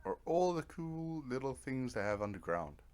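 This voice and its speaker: A man's voice: medium pitch, sing-song, moderate pace, a little mellow. A man giving casual let's play commentary, rambling to himself in a wry, self-deprecating way. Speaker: gaming video host.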